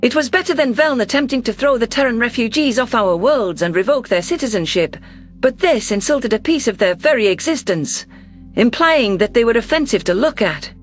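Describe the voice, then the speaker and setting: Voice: mid-range voice, expressive, fairly quick, faint music behind. A narrator reading first-person science fiction in a scripted, dramatic storytelling style. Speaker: narrator of sci-fi audio stories.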